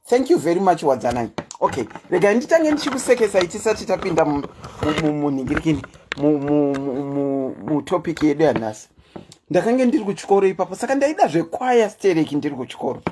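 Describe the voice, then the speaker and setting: Zimbabwean lady hosting a chatty live stream, low deep voice, really lively up and down. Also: fast talker, slight background noise. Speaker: host. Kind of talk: casual livestream chat and storytelling.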